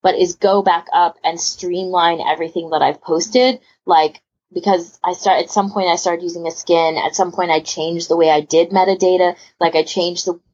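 A woman's voice: fairly low pitch, steady tone, moderate pace, darkish tone, slightly rough. A woman chatting casually about a hobby as a podcast panelist, rambling and emphatic, often rephrasing herself mid-sentence. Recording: muffled lo-fi voice recording.

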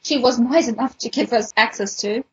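A woman's voice: a little high, normal speed, breathy edge, lo-fi sound. A woman explaining things casually as a moderator on a podcast panel.

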